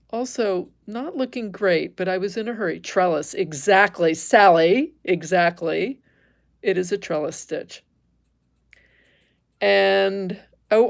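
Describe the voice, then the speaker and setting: A woman's voice: lowish voice, creaky. A middle-aged American woman teaching embroidery in an informal live demonstration, candid and conversational, thinking aloud as she works and chats with viewers. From Northern California, United States. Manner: candid, conversational, thinking aloud while working with her hands. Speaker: embroidery teacher, livestream host.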